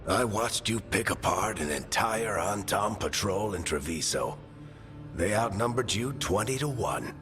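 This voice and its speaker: A man's voice: fairly low pitch, animated, unhurried, music in the background. An actor playing a seasoned, wry team leader in a video game, talking in a colloquial, fatherly and encouraging way. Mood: fond encouragement. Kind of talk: dramatic video game dialogue.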